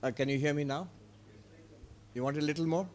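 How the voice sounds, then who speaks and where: A man, a monk teaching Vedanta, speaking in a calm, unhurried, gentle manner at a spiritual retreat in an ashram hall. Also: a little high. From India. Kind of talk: spiritual lecture.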